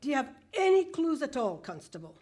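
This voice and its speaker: A woman's voice: high voice, big pitch swings, brisk, a little mellow. A woman playing a bossy, exasperated Scottish police superintendent in a comic radio play before a live audience.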